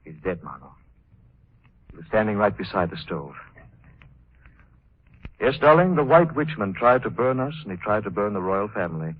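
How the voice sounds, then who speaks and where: An actor playing an assured crime-fighting gentleman hero in 1940s radio drama style, speaking in a measured, explanatory way. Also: fairly low pitch, very animated. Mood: reassuring, grave. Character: assured male crime-fighting hero, wealthy man about town. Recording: tinny, narrow 1940s radio recording.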